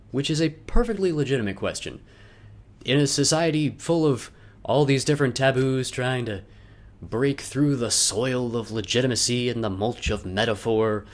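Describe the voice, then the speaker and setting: A man speaking in a wry, self-deprecating way with joking asides, as in a personal video made by its creator. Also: medium pitch. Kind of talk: casual vlog intro, then loose dramatic reading of a personal letter.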